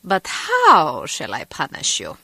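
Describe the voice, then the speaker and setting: A woman's voice: low voice, huge pitch swings, slow, bright, forceful tone, husky, dry recording, full character voice. A woman playing a domineering, vain German villainess in a game, imperious and theatrical, with a German accent. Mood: smug, menacing.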